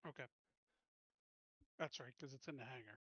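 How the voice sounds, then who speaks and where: A man's voice: medium pitch, moderate pace, a bit bright, breathy edge, dry recording, lo-fi sound. A man playing a tabletop game, talking casually and tersely as he lays out plans in a matter-of-fact way. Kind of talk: casual game planning talk.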